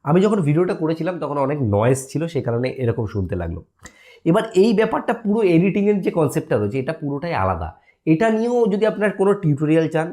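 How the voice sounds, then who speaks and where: A man's voice: mid-range voice, warm tone. A man hosting a casual app tutorial, explaining step by step and often checking in with listeners. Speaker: tutorial host.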